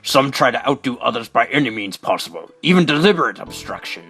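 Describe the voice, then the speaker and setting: A man's voice: medium pitch, sing-song, brisk, creaky, pushed and bright, slightly rough, heavily acted. A man playing a pompous, secretive older space center director in a video game fandub, speaking in a theatrical, declamatory way. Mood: emphatic. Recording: amateur recording with music.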